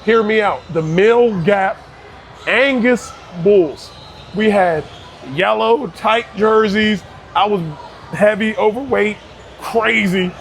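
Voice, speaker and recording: A man's voice: sits high, slow, vocal fry, slight background noise. A man in his thirties from Barbados, a youth basketball coach talking earnestly in an interview, often restarting his words. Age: 30s. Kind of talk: casual interview conversation.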